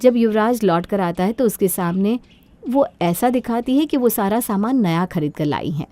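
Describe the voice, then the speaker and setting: A woman's voice: mid-range voice, animated, normal speed, mellow. A woman reading scripted storytelling narration for a cartoon moral story.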